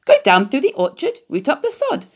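A woman voicing a chattering female goose who talks fast and repeats her words. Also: sits high, huge pitch swings, pitched above the performer's own voice. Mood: exuberant.

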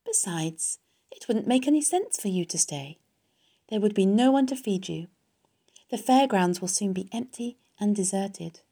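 Woman voicing a calm, dying female spider, creaky-voiced. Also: medium pitch, normal speed, pitched above the performer's own voice. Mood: gently firm. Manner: composed, matter-of-fact, gently scolding.

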